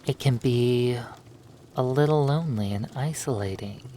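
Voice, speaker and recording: A man narrating a hypnosis roleplay in a soothing, lulling manner, leaving long pauses between phrases. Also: mid-range voice, warm tone, slight background noise.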